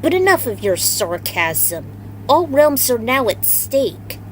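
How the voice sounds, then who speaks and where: A woman's voice: high voice, sing-song, slow pace, slight background noise, pitched above the performer's own voice, exaggerated voice, rough recording. A woman voicing a sarcastic, brash female demon warrior with a venomous, taunting manner in a fantasy story. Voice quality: alto.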